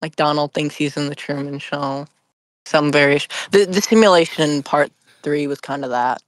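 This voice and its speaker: A man's voice: on the high side, steady tone, normal speed, no echo. Man chatting playfully, dry close sound. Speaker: podcast panelist. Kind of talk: casual group chat.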